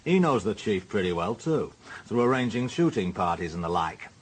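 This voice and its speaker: Blunt Northern English police detective, noisy recording. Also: male voice, lowish voice, sing-song, normal speed. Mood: stubborn, matter-of-fact. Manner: blunt, combative, gruff colloquial speech. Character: blunt, defiant senior police detective, bullish and aggrieved. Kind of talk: radio drama acting.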